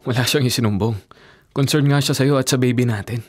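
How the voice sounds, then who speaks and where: A devoted young Filipino husband in a radio drama, played by a male actor. He is gentle and earnest, with a mid-pitched voice over a clearly audible music bed. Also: brisk, close to an everyday voice. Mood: gently coaxing. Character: devoted, soft-hearted young husband and expectant father. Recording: music bed behind dialogue.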